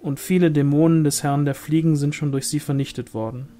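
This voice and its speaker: A man's voice: a little high, fairly even intonation, a little fast, dark tone, faint music behind, natural voice. A man reading the part of a grave young male angel warrior in a storybook, solemn and stern. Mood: solemn, assured.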